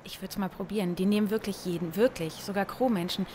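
Woman acting flippant female adviser, medium pitch, offhand, blunt, dismissive, radio play, faint background noise. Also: fast talker, mellow, lightly acted. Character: flippant woman handing out blunt job advice.

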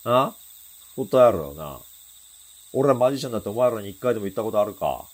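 An actor playing a boastful, short-tempered teenage delinquent in a Japanese radio drama, drawling in thuggish slang with a husky voice. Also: male voice, on the low side, big pitch swings, fast. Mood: threatening.

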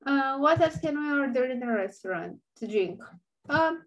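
A woman's voice: sits high, lively intonation, moderate pace, dull audio. A young woman with a Romanian accent, a native speaker teaching an online language lesson into her laptop webcam, patiently repeating words for learners.

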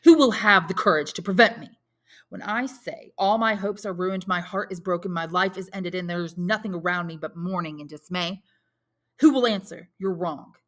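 A woman's voice: on the low side, a little fast, a bit bright. A woman voicing a passionate, despairing young man in a storybook, with declamatory, wounded and defiant outbursts.

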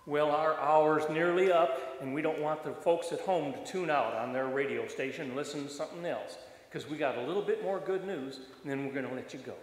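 Older folksy preacher in a very echoey church. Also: male voice, fairly high pitch, brisk, hardly any pauses. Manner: folksy, colloquial, addressing a congregation. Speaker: retired pastor preaching. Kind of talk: folksy sermon. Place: church sanctuary, standing at the front.

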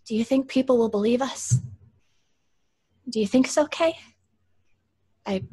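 An actress playing a frightened, fragile woman slipping into hysteria in an old-time radio horror drama, halting and pleading. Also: mid-range voice, fairly bright tone, breathy edge, exaggerated voice.

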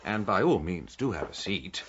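Radio drama sidekick: middle-aged English military gentleman, fussy and dryly sarcastic, lively intonation, slightly dull recording. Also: low-pitched, normal speed, background noise. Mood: sarcastic, indignant. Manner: dry, sarcastic, fussily polite.

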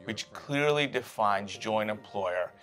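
A man's voice: lowish voice, animated, slow pace, fairly bright tone. Man in a documentary interview. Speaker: hotel franchisee.

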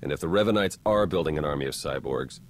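A man's voice: extremely low pitch, fast talker. An actor playing a wry, confident young male secret agent in a video game, talking casually with dry wit. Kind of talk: scripted video game cutscene acting.

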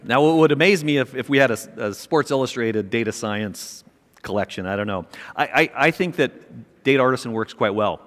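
A middle-aged man giving an energetic, emphatic keynote speech on a large conference stage, with a small mic. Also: mid-range voice, moderate pace. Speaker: keynote speaker, company chairman. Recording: long reverb of a large hall.

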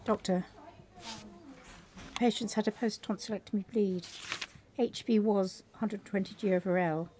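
A woman playing a brisk, clinical hospital medic in an audio drama. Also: mid-range voice, fairly even intonation, noisy background, natural voice. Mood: brisk, concerned.

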